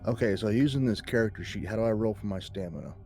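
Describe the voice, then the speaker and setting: A man chats casually as a player in a tabletop roleplaying game. His voice sits on the low side, and he feels his way through each move aloud, with faint music underneath. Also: fast, darkish tone.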